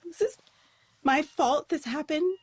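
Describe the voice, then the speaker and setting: A woman's voice: high voice, no room sound. A woman playing an anxious teenage girl. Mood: anxious, guilty. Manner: halting, pleading. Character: anxious teenage girl, guilt-ridden friend.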